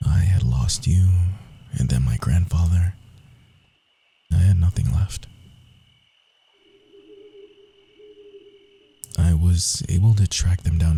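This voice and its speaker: A man playing a proud, wounded young rogue assassin in an intimate ASMR roleplay, speaking in a hushed, confiding way with dramatic pauses. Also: a bass voice, heavily acted. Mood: grim, bitter. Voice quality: husky, breathy, often dropping to a whisper.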